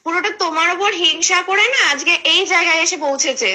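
Young woman, high quick voice. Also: bright, forceful tone. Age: young adult. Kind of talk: casual phone conversation.

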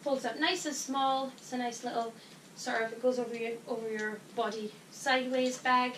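Woman in her 30s, bright higher voice, chatty how-to vlog, picked up off-mic in a roomy bedroom. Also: fairly even intonation, moderate pace, slight background noise. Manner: chatty, frequent "um" fillers, explains while packing. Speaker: vlogger giving cruise packing tips. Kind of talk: casual advice vlog. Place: small bedroom, indoors. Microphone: fixed camera from above across the room. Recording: echoey room, voice from a distance.

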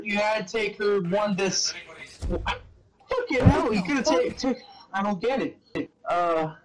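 A man doing a mocking dramatic reading of fan fiction, halting and stumbling over words, with casual sweary asides. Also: high-pitched, a bit bright, low-quality recording.

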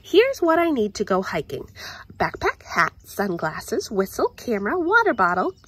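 Lively, husky woman reading a storybook. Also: mid-range voice. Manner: expressive, deliberate storytelling. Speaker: story time reader. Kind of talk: children's picture book read-aloud.

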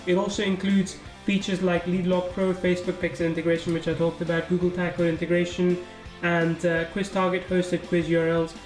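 A man with a high voice and fairly even intonation talks through product pages, casual and thinking aloud. His voice sounds roomy and echoey, with faint guitar music underneath. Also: brisk. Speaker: software reviewer. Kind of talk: screen-recorded product walkthrough.

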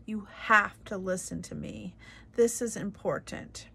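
A woman voicing a small, earnest and polite male mouse who speaks high and pleading. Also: a little slow, gravelly, bright, forceful tone, breathy edge, heavily acted. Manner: timid but insistent, high and pleading.

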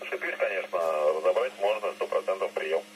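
Very deep-voiced man over noisy ham radio. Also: lively intonation, brisk. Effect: voice heard over two-way radio. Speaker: amateur radio operator. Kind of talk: casual ham radio chat. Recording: noisy, humming FM radio transmission.

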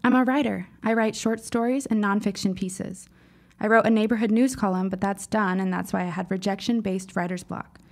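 A woman's voice: medium pitch, steady tone, brisk, close to an everyday voice. An actress playing a precocious fifteen-year-old girl in an audio drama, speaking in formal, dramatic phrases. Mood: earnest, dramatic. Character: precocious, earnest fifteen-year-old girl writer, shaken but insistent.

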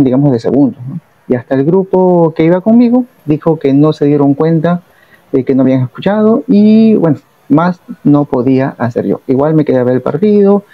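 A Peruvian man in his thirties telling a personal travel story straight to the camera, reflective and steady, often adding little tag questions. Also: on the high side, no room sound, phone-quality sound. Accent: Peruvian Spanish. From Peru. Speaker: vlogger.

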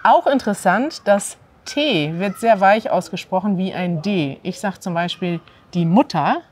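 A woman in her thirties from northern Germany, speaking standard German as host of a language lesson, clear and animated as she prompts her guest to repeat words, recorded outdoors. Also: medium pitch, a little slow, a little hiss or hum. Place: outdoors in a courtyard by an ivy-covered wall.

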